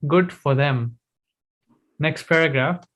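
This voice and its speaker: A man's voice: fairly high pitch, slow pace, fairly bright tone. A man hosting a live online discussion, reading a post aloud steadily and giving short replies. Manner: brief agreeing replies, then reads text aloud steadily. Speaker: host. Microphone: livestream.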